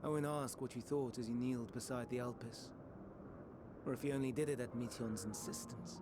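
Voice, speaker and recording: An actor playing a melancholy, idealistic scholar in a video game, speaking in measured, formal, archaic phrases. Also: male voice, mid-range voice, steady tone, over music, close to an everyday voice. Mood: earnest, wistful. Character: soft-spoken melancholy male scholar, idealistic, quietly tormented. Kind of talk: dramatic video game voice acting.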